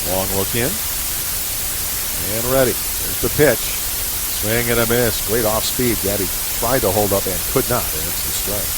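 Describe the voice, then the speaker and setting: A man's voice: on the low side, moderate pace. A man doing live radio play-by-play commentary as a baseball announcer. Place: ballpark broadcast position. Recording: hissy, noisy radio broadcast recording.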